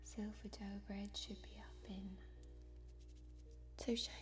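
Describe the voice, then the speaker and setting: A young woman voicing a friendly, bustling tavern server in an ASMR fantasy role-play, soft, breathy and folksy. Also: mid-range voice, level tone, slow pace, soft background music, close to an everyday voice. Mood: chatty, bustling. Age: young adult. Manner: chatty, folksy, eager to please.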